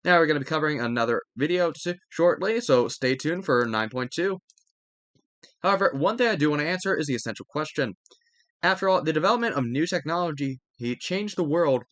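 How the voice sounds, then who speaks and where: A man's voice: on the high side, expressive, a little fast, fairly bright tone, no room sound. A man reading a textbook aloud as a study-video host, stumbling over words now and then and correcting himself.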